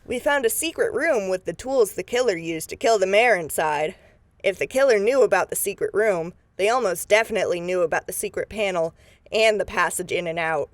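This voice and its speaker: A woman's voice: medium pitch, lively intonation, a little fast, no echo, natural voice. A woman playing a businesslike, take-charge deputy investigator in a radio drama, plain-spoken and matter-of-fact. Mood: focused, deducing. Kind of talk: scripted radio drama acting.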